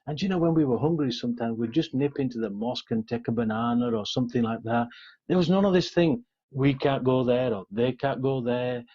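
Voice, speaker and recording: A middle-aged Yorkshireman talks earnestly as an interview guest. His voice is soft-edged and mellow, with a husky grain. The sound is dull and lo-fi. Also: mid-range voice, fairly quick. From Yorkshire, England. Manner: frequent "you know" and "sort of". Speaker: interview guest, police officer.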